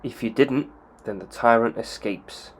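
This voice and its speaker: A man's voice: mid-range voice, a little slow, slight background noise. A man hosting a video game channel, giving casual voice-over commentary with frequent ums and uhs. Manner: hesitant, many ums and uhs.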